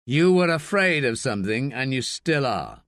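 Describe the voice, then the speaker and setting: A man voicing a persistent foreign investigator who presses with calm, probing questions. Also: mid-range voice, moderate pace, no room sound, clean studio sound. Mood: cool, insistent.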